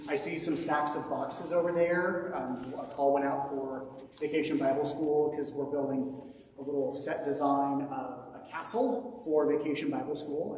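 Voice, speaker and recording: A man in his thirties, a priest giving relaxed, joking church announcements in a large sanctuary, heard from a far camera. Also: fairly high pitch. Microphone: far camera, handheld mic at times. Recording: echoey hall from far off, noisy.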